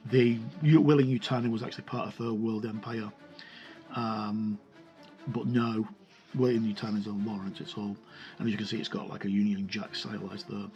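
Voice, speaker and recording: A man giving a casual, unscripted review of a tabletop game book, haltingly thinking aloud and correcting himself as he goes. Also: fairly low pitch, little pitch movement, darkish tone, muffled recording, faint music behind.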